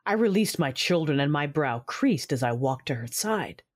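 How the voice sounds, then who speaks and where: A woman narrating a fan audio drama in the first person, her delivery soft and often hushed. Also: sits low, animated, moderate pace. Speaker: writer, director and narrator.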